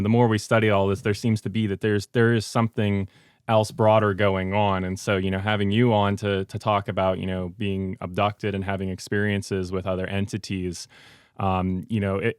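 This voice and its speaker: A man with a very low voice hosts a podcast and talks in an earnest, conversational way. His delivery stays level and even, and the sound is dry and close. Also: no echo. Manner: earnest, conversational, circles around his points. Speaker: podcast host. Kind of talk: podcast interview.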